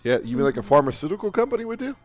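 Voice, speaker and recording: A man's voice: fairly high pitch, very animated, very fast. A man on a podcast panel, casually discussing a game. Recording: music behind the voice. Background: music.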